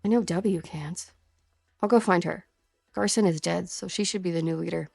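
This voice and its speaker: A young mercenary woman acted by a female fan dubber talks with a creaky edge at a medium pitch. She is earnest, intense and defiant, pressing her point in game drama style. The sound is dry, with music behind her. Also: moderate pace, darkish tone, no echo.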